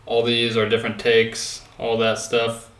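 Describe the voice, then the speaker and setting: A man's voice: medium pitch, monotone, measured pace, roomy sound, noisy background. A man casually explaining home music recording to his viewers in a behind-the-scenes vlog, talking from his own recording room. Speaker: home studio recording vlogger.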